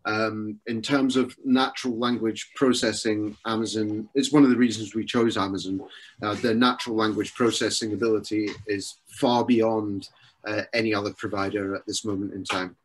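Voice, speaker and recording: A man with a Scottish accent answering questions in an informal technical talk, explaining things haltingly with many pauses. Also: on the low side, fairly even intonation, poor audio. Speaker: consultant presenting and answering questions.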